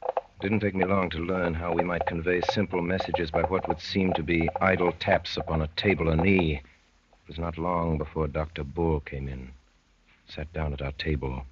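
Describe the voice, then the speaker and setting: An actor playing an earnest young gentleman poet and undercover policeman in theatrical 1930s radio drama, articulate and urgent. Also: low voice, level tone, moderate pace, background noise, natural voice. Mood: hushed narrating. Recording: dull lo-fi 1930s radio recording.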